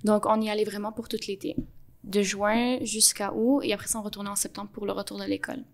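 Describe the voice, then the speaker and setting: Young woman, Quebec French accent, flat delivery. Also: medium pitch. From Montreal, Canada. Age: young adult. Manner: earnest, explanatory, with frequent "euh" pauses. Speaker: podcast guest. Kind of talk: interview answers.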